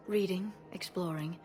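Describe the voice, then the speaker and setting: A woman playing a gentle, wistful alien scientist in a video game, speaking softly and tenderly, often breathy. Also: lowish voice. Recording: faint music behind the voice.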